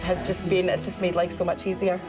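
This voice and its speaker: A woman's voice: lowish voice, little pitch movement, fairly quick, rough recording. A middle-aged woman founder speaking in a heartfelt, earnest way during an interview. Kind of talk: emotional interview. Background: music.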